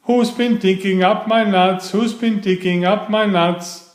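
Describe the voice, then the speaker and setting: A man's voice: high voice, steady tone, measured pace, echoey room, voice pushed up. A man voicing a tiny innocent songbird in a children's storybook, chanting a repetitive singsong call.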